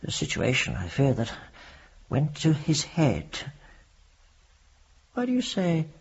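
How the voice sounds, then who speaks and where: A dull recording of a period radio play. a devout, courteous clergyman recalling the past in a very raspy, creaking voice. His phrasing is formal and measured, with lively rises and falls. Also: on the high side, heavily acted. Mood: wistful. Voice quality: husky, gravelly. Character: earnest Victorian clergyman recounting his memories, devout and courteous. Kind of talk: period radio drama acting. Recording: dull lo-fi audio.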